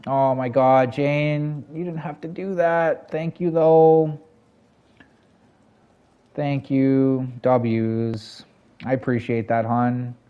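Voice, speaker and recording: Casual Canadian male streamer, warm dark tone, chatty storyteller with fillers. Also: medium pitch, highs cut off. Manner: rambling, lots of fillers and self-corrections, talks to chat. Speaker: game streamer. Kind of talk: casual storytelling to a live chat.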